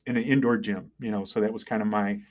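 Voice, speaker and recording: A middle-aged man speaking as an interview guest, conversational and halting, thinking aloud and often checking in with the listener. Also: lowish voice, fairly even intonation. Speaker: interview guest, tech entrepreneur and engineer. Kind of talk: conversational interview, telling career story.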